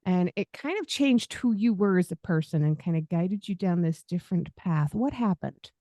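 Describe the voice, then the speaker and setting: A woman hosting a podcast interview, chatty and quick to laugh. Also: fairly low pitch, animated, dark tone, no echo, studio quality. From Southern United States. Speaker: podcast host. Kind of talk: podcast interview, friendly chat.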